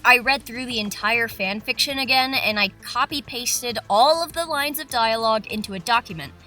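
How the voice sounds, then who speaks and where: A woman with a squeaky, youthful voice narrating her video in a casual, chatty, self-deprecating way. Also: bright, forceful tone. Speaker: video creator. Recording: faint music behind the voice.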